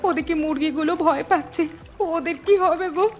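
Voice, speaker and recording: A woman's voice: sits high, fairly quick. A woman voicing a frightened, fretful village mother in a cartoon, full of anxious questions. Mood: panicked. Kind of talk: Bengali cartoon dialogue. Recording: music behind the voice.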